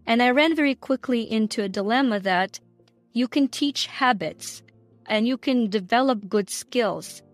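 Higher-voiced middle-aged woman with Ukrainian accent. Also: measured pace, no room sound, soft background music. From Ukraine, former Soviet Union. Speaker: guest, entrepreneur and coach. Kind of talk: personal storytelling in an interview.

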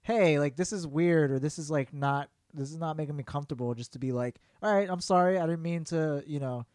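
Guy with a slightly high voice, dry sound, chatting loosely on a podcast, kinda halting. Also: darkish tone, dry recording. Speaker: podcast co-host. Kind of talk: casual conversational banter.

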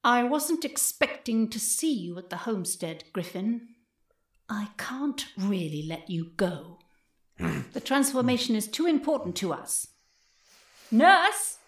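A female cult leader in an audio drama, speaking slowly with wide, theatrical swings of pitch. She is composed and menacing, condescending while sounding gentle, and the room echoes around her. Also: sing-song, heavily acted. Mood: cool, commanding. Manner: slow, deliberate, theatrical, mock-gentle. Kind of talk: scripted audio drama acting. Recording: roomy echo.

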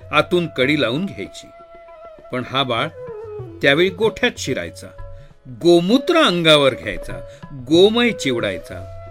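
A man narrates a sacred tale like a dramatic discourse. His voice is fairly high and forceful, theatrical in its emphasis, with soft music behind it. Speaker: narrator, reader voicing a sage's discourse. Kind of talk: dramatic scripted storytelling discourse.

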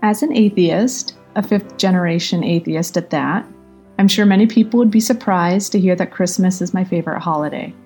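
A woman's voice: on the low side, normal speed, darkish tone, husky. A woman contributing to a podcast, giving a prepared personal reminiscence. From United States, California. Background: music bed.

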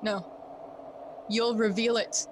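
A grieving young prince in a Shakespearean audio drama. The voice is high and very deliberate, with impassioned theatrical phrasing. Faint ambient noise sits behind it. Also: female voice, fairly even intonation. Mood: guarded excitement. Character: grieving, feverish young prince sworn to vengeance.

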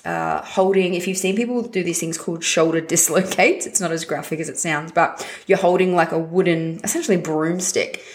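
Hoarse, husky woman hosting a casual fitness podcast from home; upbeat and blunt. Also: lowish voice, fairly even intonation, a little fast, hardly any pauses. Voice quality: raspy, husky. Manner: upbeat, direct, swears casually. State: hoarse from a chest infection. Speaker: podcast host and fitness coach.

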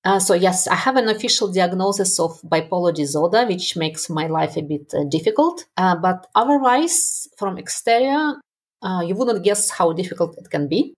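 A woman in her forties speaks with a strong Russian accent and a slightly husky, low-pitched voice. She talks in a halting conversational way, full of fillers and self-deprecating laughs. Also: expressive. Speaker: podcast guest. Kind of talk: conversational interview.

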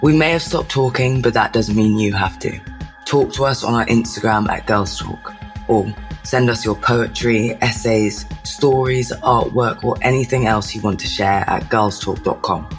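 A podcast host with a cold speaks in a low, slightly stuffy voice. He chats playfully with his guest before reading a polished sign-off. Faint music sits under his voice. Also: steady tone, moderate pace. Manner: playful, then warm scripted sign-off. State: has a cold. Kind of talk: casual interview and scripted outro.

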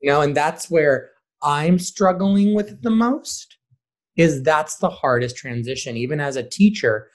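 A man who teaches and directs theater, answering interview questions in an expressive, emphatic, conversational way. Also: a little high, a little slow.

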